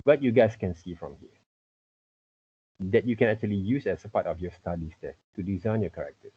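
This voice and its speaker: A middle-aged man with a husky voice teaching an informal online lesson, repeatedly checking with "okay" and "right". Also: deep voice, moderate pace. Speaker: teacher, art and character design tutor. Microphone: computer mic on a video call. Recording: muffled video-call audio.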